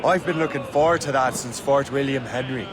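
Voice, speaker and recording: A man's voice: medium pitch, brisk, a crowd in the background, lightly acted. A man playing a cool, war-weary young sea captain in a historical game, speaking in a dry, understated way. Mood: steady. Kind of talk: game drama dialogue.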